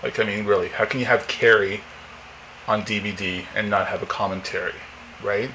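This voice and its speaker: Forties male vlogger, low monotone, loose rambling delivery, home camera recording with faint hiss. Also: moderate pace, lo-fi sound. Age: 40s. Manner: rambling, many fillers and restarts. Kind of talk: casual unboxing chat. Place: home living room, indoors.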